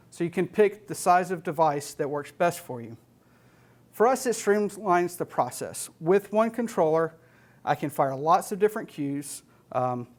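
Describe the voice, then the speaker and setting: An American man in his thirties presenting as an audio technician, explaining gear and software in a plain-spoken, matter-of-fact way. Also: fairly high pitch. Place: indoor studio stage with LED wall.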